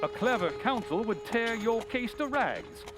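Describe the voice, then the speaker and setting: A man playing a sharp, confident, analytical gentleman detective in old-time radio drama style, with formal, precise questioning. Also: very high voice, big pitch swings, a little slow, loud music behind the voice, heavily acted. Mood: probing. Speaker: community theatre actor. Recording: faint background noise.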